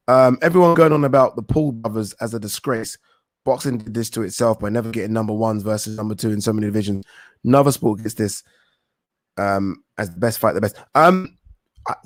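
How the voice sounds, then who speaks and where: A man's voice: on the low side, no echo, studio quality. A young man hosting a live boxing talk stream, reading viewer questions aloud and answering in a rambling, thinking-aloud way with casual swearing. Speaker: boxing talk show host.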